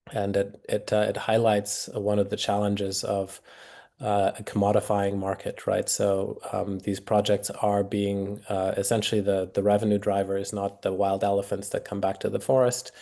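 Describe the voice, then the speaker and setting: A man's voice: lowish voice, monotone, moderate pace, creaky, a little mellow. A man answering questions in an online session, hesitant, with many fillers and restarts. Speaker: guest speaker. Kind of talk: webinar Q&A answers.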